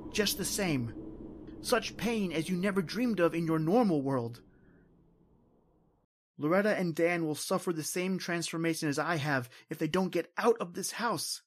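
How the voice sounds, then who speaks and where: An actor playing a tormented, invisible male spirit in an old-time radio horror play, with dramatic, anguished, halting delivery. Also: sits high, breathy edge, full character voice. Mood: anguished, brooding. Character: tormented invisible male spirit wandering his haunted house.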